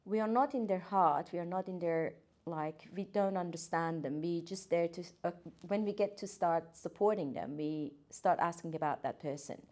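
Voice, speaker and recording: A woman's voice: fairly low pitch, moderate pace, a little mellow. A woman, a guest occupational therapist talking earnestly, with hesitant fillers and restarts. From Iran. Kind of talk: casual podcast interview.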